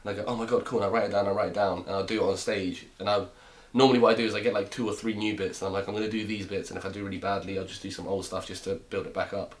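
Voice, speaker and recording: A man's voice: fairly low pitch, little pitch movement, very fast, few pauses. A man in his twenties from Romania, a comedian guest chatting casually and joking in a rambling way. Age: 20s. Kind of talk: casual chat.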